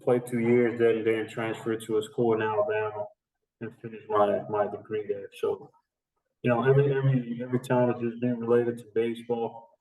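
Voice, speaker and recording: A man's voice: mid-range voice, little pitch movement, a little fast, a little mellow. A man in his thirties from the Dominican Republic, a guest talking casually and rambling, with lots of fillers and restarts. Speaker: strength and conditioning coach, guest. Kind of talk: casual interview chat. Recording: echoey, distant remote recording.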